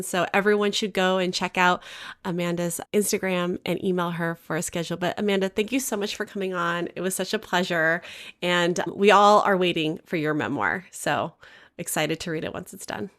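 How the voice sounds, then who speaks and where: A woman with a slightly low voice co-hosts a podcast. She speaks in a friendly, appreciative way to her guest. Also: fairly even intonation, moderate pace, fairly bright tone. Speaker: podcast co-host. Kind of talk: casual podcast chat, wrapping up an interview.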